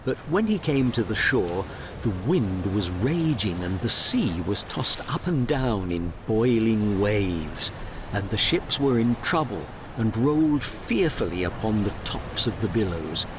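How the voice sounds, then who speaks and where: Slow, animated male storyteller. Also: fairly low pitch, noisy background. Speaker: narrator. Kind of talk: scripted fairy tale narration.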